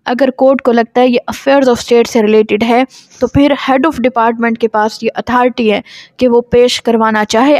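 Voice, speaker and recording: A woman's voice: fairly high pitch, darkish tone, dry recording. A woman with a Pakistani accent giving a law lecture, reading formal text aloud and explaining it in an instructional way, repeating her points.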